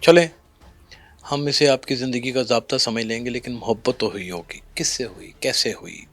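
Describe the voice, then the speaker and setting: A man's voice: mid-range voice, normal speed, no echo. A middle-aged man with a Pakistani accent, hosting a conversational interview in a probing, teasing, dramatic way. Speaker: podcast host, interviewer.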